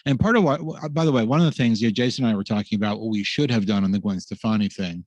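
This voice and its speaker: A man's voice: on the low side, racing, a little mellow, no room sound. A man hosting a casual webinar, rambling with many fillers and restarts. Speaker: host.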